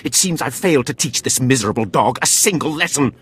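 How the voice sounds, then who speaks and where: An actor playing a haughty, scornful Sith academy overseer in a video game, contemptuous and theatrical. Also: male voice, medium pitch, animated, fairly quick, fairly bright tone, breathy edge. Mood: indignant.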